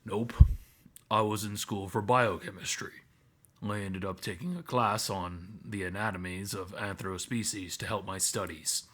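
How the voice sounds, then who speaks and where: A man playing a calm, blunt anthro student medic in a visual novel, speaking in a measured, clinical way. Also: lowish voice. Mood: matter-of-fact.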